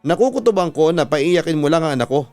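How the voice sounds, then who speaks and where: A man's voice: on the high side, expressive, fast, a little mellow, faint music behind, voice pushed up, close to an everyday voice. A man voicing a stern, suspicious mother. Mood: stern, distrustful. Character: disapproving, suspicious middle-aged mother of a grown daughter.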